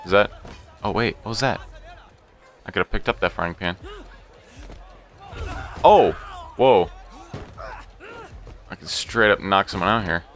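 A man hosting a casual let's play, talking to himself and the game in an excitable, profane way. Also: on the low side, measured pace, pauses often. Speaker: gaming channel host.

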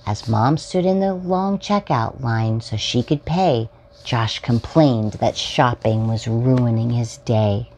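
A woman's voice: very deep voice, slow pace, slight background noise. A woman in her forties reading a children's story aloud expressively, as a storytime host. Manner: expressive, addressing young children. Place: small furnished room at home, indoors.

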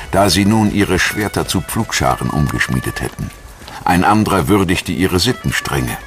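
Man, very deep pitch, scripted documentary narration, background music. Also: a bit bright. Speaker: documentary narrator and voice-over translator.

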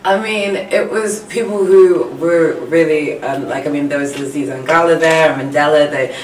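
A woman's voice: deep voice, moderate pace. A young woman from Tanzania talking casually as a singer-songwriter guest in an interview. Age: young adult. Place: small radio studio. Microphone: large studio microphone on a boom arm. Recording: echoey room, distant sound, background noise.

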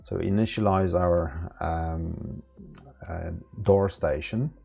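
Low gravelly man walking through a setup. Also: slow pace, lo-fi sound. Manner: relaxed, step-by-step with many fillers. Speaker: tech tutorial presenter. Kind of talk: casual screen-recorded tutorial. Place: small room indoors.

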